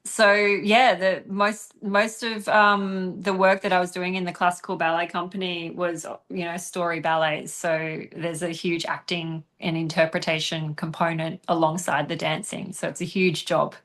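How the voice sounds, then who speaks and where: A young adult woman answering interview questions in a relaxed, chatty way, often laughing and pausing with ums. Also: fairly low pitch. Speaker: interviewed actress and dancer.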